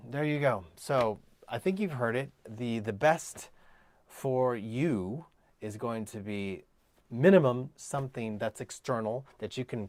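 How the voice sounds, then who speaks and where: A man with a baritone voice hosting a casual livestream lesson, chatting while he demonstrates microphones and moves closer to and away from them. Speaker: cello teacher hosting a live stream. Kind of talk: casual livestream demo talk. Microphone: switches between several desk microphones.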